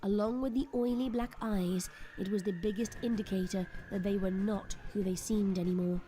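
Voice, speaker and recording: A woman giving dramatic scripted story narration in a measured, suspenseful manner. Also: mid-range voice, fairly even intonation, warm tone, slight background noise.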